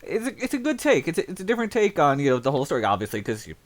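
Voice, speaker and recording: A man's voice: fairly high pitch, wildly swooping pitch, rapid-fire. A man hosting a casual chat in an encouraging manner. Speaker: host.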